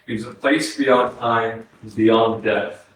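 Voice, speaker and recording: A man's voice: medium pitch, fairly even intonation, slow, fairly bright tone. A man in a staged play reading as the ghost of a brother who died young, speaking earnest, poetic monologue in a grieving, tender way. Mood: sorrowful, scared. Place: small arts centre stage, recorded from a distance. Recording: echoey theatre hall, distant mic, faint noise.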